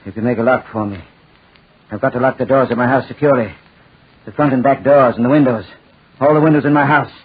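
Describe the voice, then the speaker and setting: An actor playing a desperate, haunted and murderous old gentleman in a 1940s radio drama, raving and commanding in a frantic manner. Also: mid-range voice, steady tone, quick pace, pauses often, natural voice. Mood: urgent, impatient. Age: older. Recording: husky, tinny 1940s radio recording.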